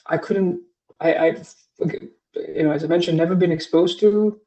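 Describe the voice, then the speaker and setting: Hesitant man, fairly high voice. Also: fairly even intonation, moderate pace, mellow, muffled recording. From Singapore. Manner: hesitant, many fillers and restarts. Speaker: philosophy professor, guest. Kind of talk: casual interview answers.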